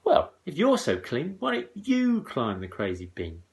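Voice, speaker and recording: Man playing a young storybook boy, high-pitched, eager, cheeky, exclamatory, swooping intonation. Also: wildly swooping pitch, measured pace, creaky, exaggerated voice.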